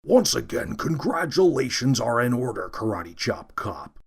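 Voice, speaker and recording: A man's voice: medium pitch, very animated, measured pace, creaky, breathy edge, exaggerated voice. A man voicing a jovial, blustering police chief in a read-aloud story. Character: blustering middle-aged male police chief. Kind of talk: storybook character voice.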